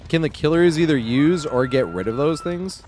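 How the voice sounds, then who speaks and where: A man's voice: a little high, expressive, normal speed, a little mellow, a little hiss or hum. A man live-streaming a game, giving laid-back, casual commentary with some swearing and talking half to himself.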